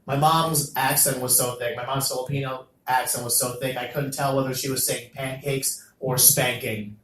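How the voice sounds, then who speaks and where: A young man doing a stand-up comedy set as the host of an open mic, animated and jokey, with loud bursts on the punchlines. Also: mid-range voice, normal speed, reverberant, a little hiss or hum, poor audio. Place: small comedy venue.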